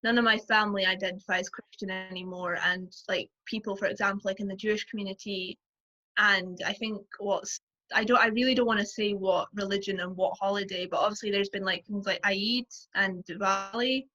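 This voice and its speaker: A young woman with a softly posh accent that blends English and Scottish, her voice bright and forward. She talks in an easy, chatty way, full of "like" and quick asides. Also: medium pitch, steady tone, rough recording. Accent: mild posh English-Scottish mix. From Scotland, Aberdeenshire. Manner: chatty, frequent "like" and quick laughs. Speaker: guest. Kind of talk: casual conversation.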